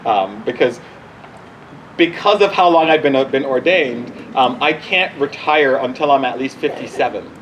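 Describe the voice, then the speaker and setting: A man in his forties from Barbados, a bishop informally answering questions outdoors in a halting, conversational way that often restarts sentences. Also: mid-range voice, fairly bright tone, slight background noise, low-quality recording. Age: 40s. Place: outdoors at a church gathering.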